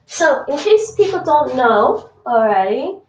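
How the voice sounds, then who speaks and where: A chatty woman from Toronto livestreams from her home kitchen, her fairly high voice answering chat between easy laughs. She sits back from the mic, and the room sounds echoey around her. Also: very animated, a little slow, distant mic, lo-fi sound, slight background noise. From Toronto, Canada. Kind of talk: casual livestream chat while cooking.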